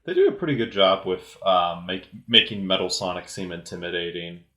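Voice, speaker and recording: Deep-voiced man giving halting commentary. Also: bright, forceful tone. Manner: halting, hesitant, often repeating words. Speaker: film commentator. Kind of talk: casual unscripted movie commentary. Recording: roomy, echoey sound.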